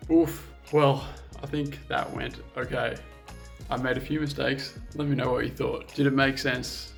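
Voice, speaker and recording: A man's voice: mid-range voice, flat delivery, unhurried, vocal fry, faint music behind. A man vlogging casually about learning a new language, upbeat and self-deprecating, talking straight to his viewers. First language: English. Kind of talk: casual vlog talk to camera.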